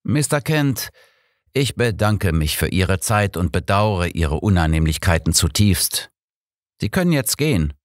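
A man voicing a shrewd, unflappable old detective in an audiobook, measured, deliberate and courteous. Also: sits low, very animated, dry recording, clean studio sound.

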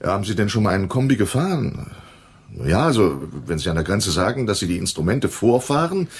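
A shady male dealer in a radio crime drama, sardonic and condescending. His voice is fairly low and husky, with very lively rises and falls in pitch. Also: a little fast, full character voice.

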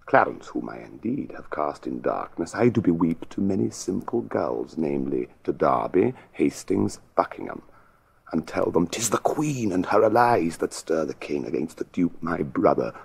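A villainous, scheming duke in Shakespearean audio drama. His low voice is husky and creaky, swinging widely in pitch with sly, sardonic false piety. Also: sing-song, moderate pace, full character voice. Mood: sly gloating. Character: scheming, mock-pious villainous royal duke. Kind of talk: theatrical Shakespearean acting.

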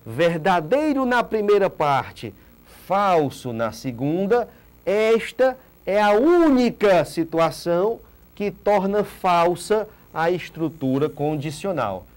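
A man's voice: high-pitched, slow pace. A man in his thirties from northeastern Brazil, giving a recorded exam-prep lecture in a studio and answering his own rhetorical questions. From Ceará, Brazil. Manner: animated, asks rhetorical questions and repeats key points. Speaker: teacher. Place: green studio set.

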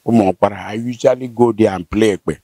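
An older man with a southern Nigerian accent, explaining local history conversationally and haltingly, with affirming hums. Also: lowish voice, dark tone, no echo, clean studio sound. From Rivers State, southern Nigeria. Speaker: interviewee, local elder. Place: outdoors, seated by corrugated metal fence. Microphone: handheld interview mic held by host.

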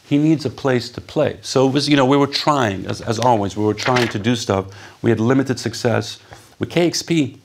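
Gravelly man telling stories on stage. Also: mid-range voice, moderate pace, slight background noise. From Poland. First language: Polish. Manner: animated, gesturing, conversational with many fillers. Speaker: radio DJ giving a stage talk. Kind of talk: storytelling talk on stage. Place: stage before a seated audience in a dark hall.

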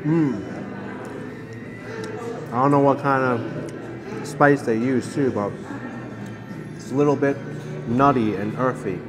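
A man's voice: mid-range voice, slow pace, pauses often, music in the background. A man vlogging a meal at a roadside restaurant, tasting dish after dish and savoring each bite with enthusiastic remarks. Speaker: food vlogger. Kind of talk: casual food vlog narration. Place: roadside restaurant in a hot desert town.

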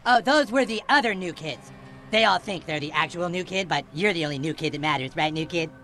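A man's voice: fairly high pitch, a little fast, background noise, lightly acted. A man voicing a scheming, boastful, bossy cartoon boy who whines and gloats. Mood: gloating. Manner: whiny, gloating and shouty. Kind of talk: cartoon game cutscene acting.

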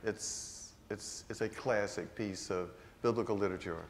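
An older man, a priest, delivering a sermon in a large church, slowing down to stress key phrases. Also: lowish voice, steady tone, a little hiss or hum. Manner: unhurried, earnest preaching with slowed emphatic phrases.

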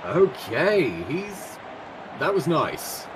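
A man with a slightly raspy voice as color commentator on a video game match, casual and quick to react. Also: on the high side, very animated, a little hiss or hum, poor audio.

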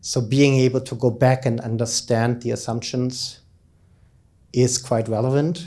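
Male academic keynote speaker, middle-aged, mid-pitched and soft-edged mellow voice, informal explanatory delivery on a handheld mic. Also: fairly even intonation, a little slow. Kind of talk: conference keynote talk. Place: conference lecture room, indoors, at a lectern. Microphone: handheld microphone.

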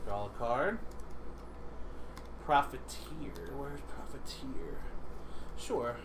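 A man's voice: medium pitch, sing-song, extremely slow. Man talking through game moves, noisy recording. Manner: thinks aloud through moves and tallies. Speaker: board game player. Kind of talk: casual tabletop game chat on a livestream. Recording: noisy livestream capture with room ambience.

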